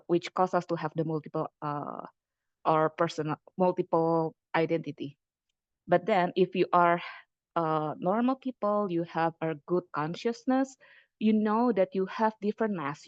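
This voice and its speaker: A woman from Indonesia giving a webinar lecture as a lecturer, speaking conversationally with frequent fillers and pauses. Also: lowish voice, dry recording, muffled recording. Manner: hesitant, many fillers, conversational. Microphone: computer mic on a video call.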